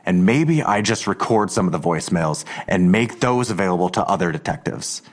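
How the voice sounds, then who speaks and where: An actor playing a coolly taunting police detective in an audio drama, questioning in a controlled, insinuating way. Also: male voice, deep voice, expressive, a little fast.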